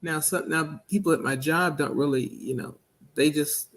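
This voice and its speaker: A man with a soft, not very deep voice, answering interview questions over a video call in a hesitant, halting way. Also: fairly even intonation. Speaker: guest, minister.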